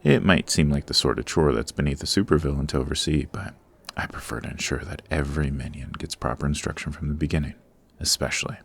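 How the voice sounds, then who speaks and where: Male actor as supervillain boss, very deep, gravelly fry, suave, formal, patient, exacting, instructive, ASMR roleplay. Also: heavily acted.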